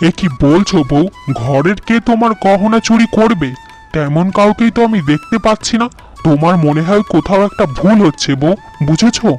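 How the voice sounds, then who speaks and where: A man's voice: high-pitched, moderate pace, darkish tone, faint music behind, natural voice. Man voicing a hotheaded farmer husband. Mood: dismissive. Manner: stern, blustering. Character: gullible young farmer husband, quick-tempered.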